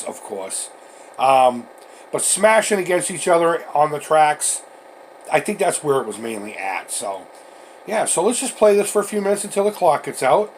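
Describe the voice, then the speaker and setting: A middle-aged man with a stuffy, congested voice from a head cold, giving casual, self-deprecating commentary as he hosts a retro video game showcase. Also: on the high side. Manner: self-deprecating, informal, mildly crude. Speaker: host of a retro gaming channel. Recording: clearly noisy home recording.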